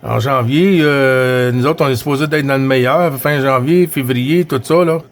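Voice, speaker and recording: A man with a Quebec French accent chatting casually as a CB radio operator, halting and often repeating his phrases. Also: mid-range voice. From Quebec, Canada. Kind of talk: casual radio chat with greetings.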